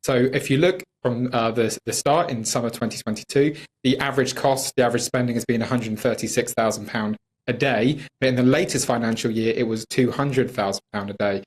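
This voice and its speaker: Man, British accent, rapid pace, campaigner, emphatic, critical, political commentary. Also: medium pitch. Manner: emphatic, critical, fluent argument. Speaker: campaigner, studio guest.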